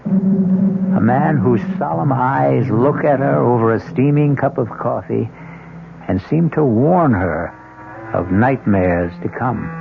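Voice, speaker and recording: A man hosting a radio mystery drama, narrating in an ominous, deliberate, suspenseful storytelling style with a gravelly voice. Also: medium pitch. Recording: tinny old radio, music behind.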